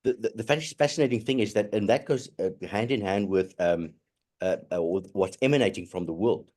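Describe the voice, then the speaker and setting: Guy w/ a lowish voice, lots of restarts and mm-hms, chatty group call. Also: darkish tone. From South Africa. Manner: halting, many restarts and affirming murmurs. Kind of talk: casual group discussion.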